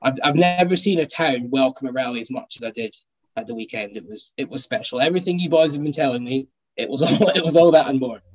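A man's voice: mid-range voice, quick pace, husky, highs cut off. A Scottish man guesting on a podcast, enthusiastic and self-deprecating. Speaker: guest co-host, rally journalist. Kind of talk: casual podcast chat.

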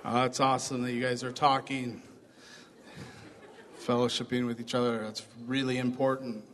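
A man with a hoarse voice, pastoring a church, giving chatty announcements and a short sermon to his congregation. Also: medium pitch, level tone, moderate pace, fairly bright tone. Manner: upbeat, chatty, addresses congregation directly. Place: church sanctuary. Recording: long reverb of a church hall.

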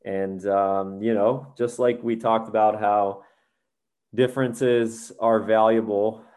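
A man's voice: fairly low pitch, little pitch movement, takes it slowly, a little mellow. A man co-hosting a podcast, speaking thoughtfully and encouragingly in casual chat, with some hesitation. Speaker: podcast co-host.

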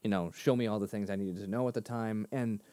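A man co-hosting a casual faith discussion, talking animatedly and breaking into higher voices when he quotes himself. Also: quick pace, no echo, clean studio sound. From Boston area. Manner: animated, laughs often, jumps pitch in quoted asides. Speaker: podcast co-host.

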